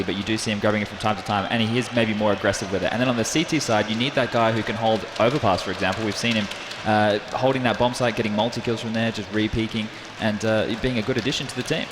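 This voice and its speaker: A man's voice: lowish voice, steady tone, fast talker, hardly any pauses, a crowd in the background, background noise. A man with an Australian accent, giving casual, rambling esports analysis full of fillers. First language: English. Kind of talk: analyst desk chat.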